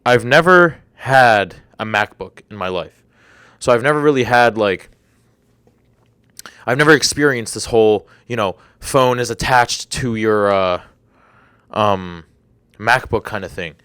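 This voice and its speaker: A man's voice: fairly low pitch, measured pace, pauses often, fairly bright tone, clear, resonant tone, dry recording, clean studio sound. A young man in his twenties hosting a solo podcast, rambling and incredulous, with a slightly congested throat. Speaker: podcast host. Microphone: desk microphone.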